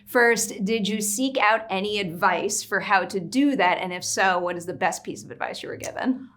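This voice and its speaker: A woman's voice: mid-range voice, moderate pace, a bit bright. A woman working as an entertainment interviewer, asking questions in a friendly, encouraging, conversational way.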